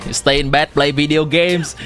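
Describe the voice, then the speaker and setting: A man's voice: fairly high pitch, fairly even intonation, moderate pace. A young man from Vietnam, a native Vietnamese speaker with a Vietnamese accent, chatting casually on a live game stream and answering viewers while giving game advice. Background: game music.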